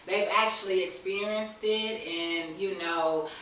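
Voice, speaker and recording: A woman's voice: fairly low pitch, steady tone, slow pace, a bit bright. An American woman telling her personal story candidly as a guest, in earnest, colloquial, emphatic talk where she repeats herself. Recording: echoey room, distant mic, faint hiss.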